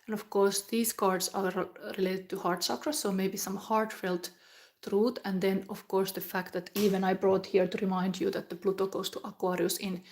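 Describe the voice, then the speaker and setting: A middle-aged woman from Finland, giving a live tarot reading in a slow, chatty way that often drops into a whisper. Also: medium pitch, little pitch movement, a little mellow. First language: Finnish. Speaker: tarot reader.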